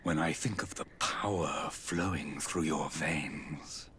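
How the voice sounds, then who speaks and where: An actor playing a creepy, fascinated villain scientist in a game, speaking in a hushed, breathy half-whisper. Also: male voice, very deep voice, takes it slowly, a little hiss or hum, exaggerated voice. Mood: creepy fascination.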